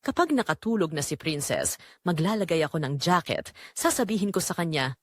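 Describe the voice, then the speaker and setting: A woman playing a poor, devoted mother burdened by guilt, narrating an audio drama in an earnest, measured, confessional way. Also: on the low side, expressive, no room sound, clean studio sound. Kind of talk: scripted dramatic first-person narration.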